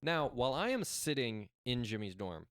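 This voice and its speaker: A young man telling a rambling, halting story in a casual podcast. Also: medium pitch, big pitch swings, a bit bright, clean tone, no echo, clean studio sound. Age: young adult. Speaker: podcast co-host.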